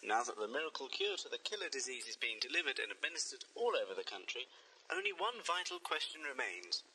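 A man's voice: mid-range voice, huge pitch swings, fast talker, creaky, pushed and bright, slight background noise, heavily acted, rough recording. A man playing an urgent news announcer, delivering a dramatic bulletin in an audio drama.

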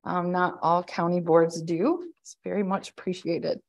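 A woman in her thirties speaks in a loud, slightly low-pitched voice. She gives an administrator's update to a county board from an office over a webcam call, in an easy, conversational way full of fillers and restarts. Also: fairly even intonation, a little slow. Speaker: county administrator giving a report. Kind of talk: spoken report at a board work session. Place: indoor office, joining a hybrid meeting. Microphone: webcam on a video call.

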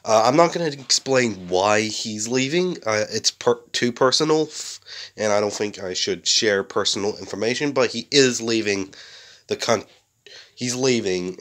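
A young man, sick and a bit rough-voiced, rambling casually to a close camera in a small bedroom, full of fillers and restarts. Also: lowish voice. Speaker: series creator.

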